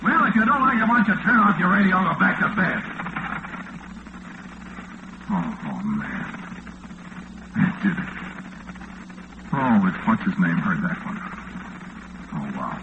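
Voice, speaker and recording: An actor playing a bewildered, harried late-night disc jockey in a flustered, exasperated 1940s radio drama style. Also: male voice, mid-range voice, lively intonation, moderate pace, long pauses, lightly acted. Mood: angry muttering. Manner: flustered, exasperated, muttering to himself. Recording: noisy lo-fi old radio recording.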